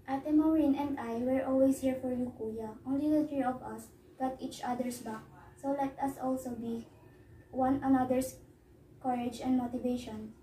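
A concerned, encouraging younger sister, acted by a young woman in an amateur family melodrama. Her voice is high and mellow. It sounds far from the mic, with faint noise behind. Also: fairly even intonation, moderate pace, close to an everyday voice. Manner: earnest, encouraging. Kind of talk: amateur one-act melodrama. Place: small living room, indoors. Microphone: far camera. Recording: echoey living room, distant mic, faint noise.

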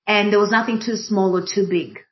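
A woman from Kenya, a diversity and inclusion practitioner speaking thoughtfully on a panel, pausing often with fillers. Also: medium pitch. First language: Swahili. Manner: thoughtful, many fillers and restarts. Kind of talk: reflective panel conversation. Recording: dull lo-fi audio.

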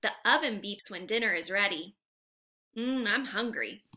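A young woman reading aloud to small children like a teacher, asking simple questions in an animated, carefully enunciated way. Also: medium pitch, moderate pace. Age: young adult. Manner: animated, exaggerated, enunciated for little learners. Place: living room at home, indoors.

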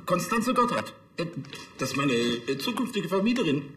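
A man's voice: on the high side, very animated, moderate pace, a bit bright, reverberant, slight background noise. A man playing a self-important, easily flustered police chief in a TV crime comedy, officious and prone to stammering.

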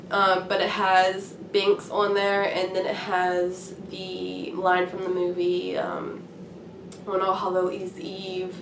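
A young woman with a cold-roughened, hoarse voice rambles along in a casual chat, filling pauses with ums. She sits some way back from the camera in a small room at home, so her voice sounds distant and roomy. A faint hiss runs under her words. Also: on the low side. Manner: rambling and chatty, many ums. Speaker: craft vlogger.

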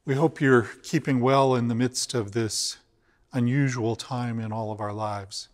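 An older priest man speaks slowly and earnestly, easing off the pace to stress key words. His middle-pitched voice carries a long church reverb from the big empty nave around him. The camera picks him up from mid distance. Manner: measured, earnest, slows for emphasis. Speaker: cathedral rector. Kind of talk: weekly video reflection on scripture. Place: inside a large empty church.

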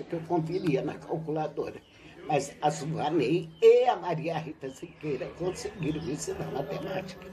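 An older woman, a retired teacher, recounting her school days in a relaxed interview on a home patio. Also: sits low. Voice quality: lively, animated storytelling with laughs. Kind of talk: reminiscing interview. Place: covered outdoor patio at a home.

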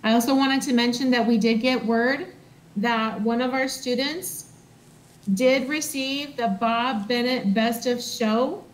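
A woman's voice: on the high side, fairly even intonation, rough recording. A woman school librarian presenting student awards remotely at a school board meeting, reading names with some hesitation. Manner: reads names haltingly with fillers.

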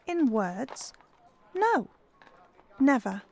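A woman playing a dignified, sorrowful young Victorian woman, speaking in a measured, earnest way. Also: sits high, big pitch swings, vocal fry, a little mellow, a little hiss or hum, heavily acted. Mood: sad, resolute.

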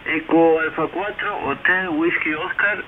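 A man's voice: a little high, steady tone, a little slow, a loud crowd. A man, a ham radio operator thinking aloud hesitantly over a shortwave transmission. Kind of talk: casual radio chat while testing audio settings. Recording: narrow tinny shortwave radio, faint hiss.

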